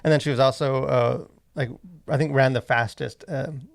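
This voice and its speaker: A man talking as a podcast co-host in a casual, halting, rambling way full of verbal fillers. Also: medium pitch, fairly even intonation, vocal fry. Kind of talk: casual conversational podcast discussion.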